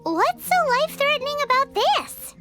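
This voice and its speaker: A woman voicing a small, excitable, curious fairy companion in a video game, with a squeaky childlike voice and exclamatory delivery. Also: normal speed, music in the background. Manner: speaks of self in third person, exclamatory.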